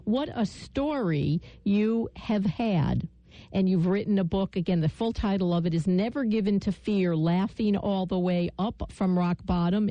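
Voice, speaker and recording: A woman hosting a radio interview, measured and polite as she introduces and questions her guest. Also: mid-range voice, dark tone, dry recording, lo-fi sound. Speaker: radio show host.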